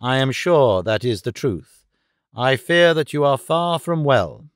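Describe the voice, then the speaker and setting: A man voicing a calm, assured gentleman detective in an audiobook story, with measured and precise speech. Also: mid-range voice, smooth, no echo, studio quality. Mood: calm, certain.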